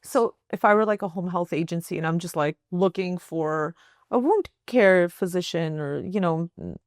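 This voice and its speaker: A woman's voice: on the low side, normal speed, no room sound, clean studio sound. A woman hosting an interview in a casual, chatty way, with many fillers. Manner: casual, many likes and laughs. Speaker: podcast host.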